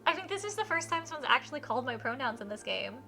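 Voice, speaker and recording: A woman streaming a visual novel, reading it aloud and reacting in an enthusiastic, expressive way. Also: high voice, very fast, gravelly, soft background music. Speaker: game streamer.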